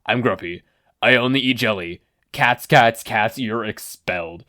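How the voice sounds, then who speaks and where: A narrator voicing a grumpy, deadpan male teacher in a story. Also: medium pitch, a little slow, no room sound, studio quality.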